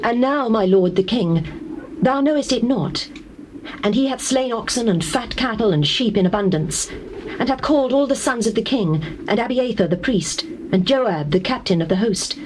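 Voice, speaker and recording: A woman's voice: on the high side, lively intonation, normal speed, slight background noise, close to an everyday voice, poor audio. An actress playing an earnest, deferential queen in a dramatized scripture reading, with formal, declamatory delivery. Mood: pleading, reverent. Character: earnest, deferential queen pleading for her son.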